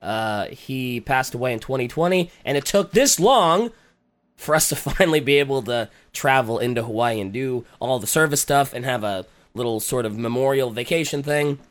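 Man, raspy throat, congested, hesitant, lots of fillers, casual podcast chat. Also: medium pitch, animated, moderate pace. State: recovering from a cold. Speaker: podcast co-host.

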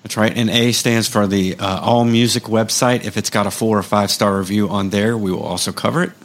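Low-voiced man co-hosting a podcast. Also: steady tone, brisk. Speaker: podcast co-host. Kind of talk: casual chat.

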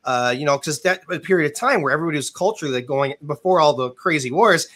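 Guy hosting an interview, rambly and excited, lots of um and you know, bright tone. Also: fairly high pitch, quick pace. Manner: rambling, filler-heavy, enthusiastic. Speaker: interviewer, host. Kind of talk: casual interview chat.

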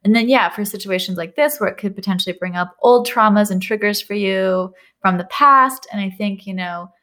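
A woman hosts a podcast interview in a mid-pitched voice. She introduces her guest eagerly and keeps the talk moving with affirming replies. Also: a little fast, pushed and bright. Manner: affirming, enthusiastic. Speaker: podcast host. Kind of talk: conversational interview.

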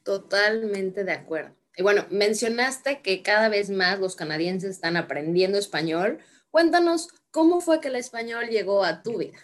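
A woman's voice: mid-range voice, moderate pace. A woman hosting an interview, asking questions in a friendly, affirming way. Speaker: interviewer host.

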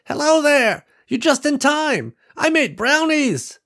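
A man voicing a warm, folksy old country woman who welcomes visitors to her farm. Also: extremely high pitch, big pitch swings, takes it slowly, pitched above the performer's own voice, exaggerated voice. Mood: cheerful.

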